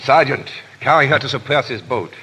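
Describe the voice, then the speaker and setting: A man's voice: medium pitch, a little fast, pushed and bright, slightly rough. A man acting a cold, scheming French revolutionary villain in a 1930s radio drama, clipped and coldly formal. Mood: coldly polite. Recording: reverberant, noisy 1930s radio broadcast.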